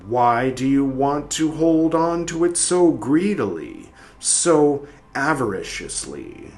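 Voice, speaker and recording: A man's voice: mid-range voice, sing-song, slow, darkish tone, soft background music. Man reading as a stern, grave soul. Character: solemn disembodied soul, male, stern and probing.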